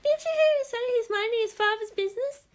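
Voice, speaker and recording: A man voicing a gentle, earnest schoolgirl class representative in an audiobook, speaking softly. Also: extremely high pitch, fast, voice pushed up. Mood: mildly defensive. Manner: gentle, reasonable, soft.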